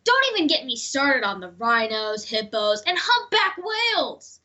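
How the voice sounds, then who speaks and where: A woman's voice: high voice, sing-song, unhurried, sharp tone, highs cut off, full character voice. A woman voicing an overworked, exasperated crayon from a storybook, complaining with animation.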